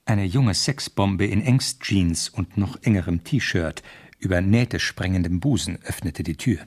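An actor playing a police assistant who narrates the case drily in a radio crime drama. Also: male voice, sits low, unhurried, a little mellow, husky. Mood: dry narration.

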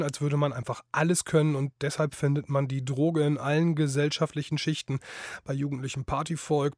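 A man's voice: a little high, a little mellow, no echo, clean studio sound. A man reading a scripted introduction to a radio drama as its announcer.

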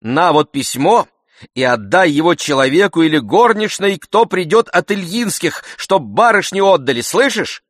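Guy doing a whiny worked-up gentleman master, voice shoots way up high, storybook reading. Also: sing-song, normal speed, no room sound, clean studio sound. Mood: exasperated. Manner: indignant, whining exclamations. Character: hot-tempered idle gentleman, querulous master of the house.

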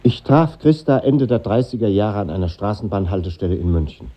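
A man's voice: on the low side, expressive, a little fast, dull audio. An actor playing a sober, reflective man narrating his memories in a 1950s German radio drama.

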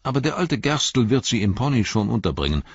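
A man narrator plays a wary storybook gatekeeper, gruff and plain-spoken. His husky voice is raised a little above a low register, and he grumbles tersely. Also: big pitch swings, fairly quick, no echo, highs cut off. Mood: gruffly welcoming.

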